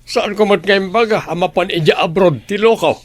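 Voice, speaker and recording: A man's voice: high voice, a little fast. A man acting an indignant, proud older father with a Filipino accent in an Ilocano radio drama. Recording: dry studio with music underneath.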